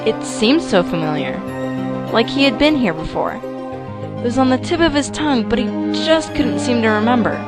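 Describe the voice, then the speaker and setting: A woman's voice: a little high, expressive, normal speed, loud music behind the voice. A woman reading scripted, dramatic story narration for an amateur audio drama.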